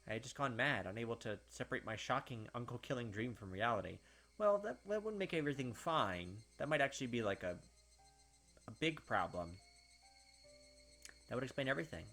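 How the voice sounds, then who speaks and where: Male, let's play host, medium pitch, visual novel narration, casual commentary, background game music. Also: gravelly, fairly bright tone.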